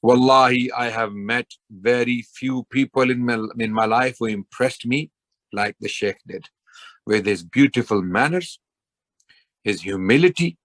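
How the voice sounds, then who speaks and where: A man's voice: mid-range voice, fairly bright tone, no room sound. Earnest guy giving a heartfelt tribute, keeps repeating himself for emphasis, dry close sound. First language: not Persian. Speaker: Islamic preacher giving a tribute.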